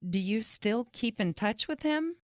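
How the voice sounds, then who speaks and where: A woman reading the interviewer's part in a scripted practice job interview, asking clear, even questions. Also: on the high side, unhurried, no room sound, clean studio sound.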